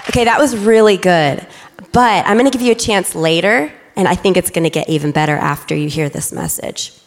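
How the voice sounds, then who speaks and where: A young adult woman preaching a lively, excitable sermon to her congregation from a church stage. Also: fairly low pitch, a little fast, slight background noise. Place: large church auditorium. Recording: reverberant church hall.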